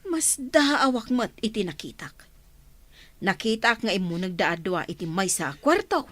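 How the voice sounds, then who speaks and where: A woman's voice: medium pitch, sing-song, normal speed, fairly bright tone, no room sound. A woman acting a gossipy, insistent older village woman in an Ilocano radio drama. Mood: gossiping, knowing.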